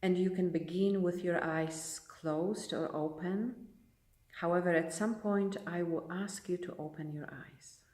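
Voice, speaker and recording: Mellow woman guiding meditation, roomy sound. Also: on the low side, steady tone. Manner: unhurried, gentle pacing with long pauses. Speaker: meditation teacher leading a session. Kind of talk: guided meditation. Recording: roomy echo, distant microphone.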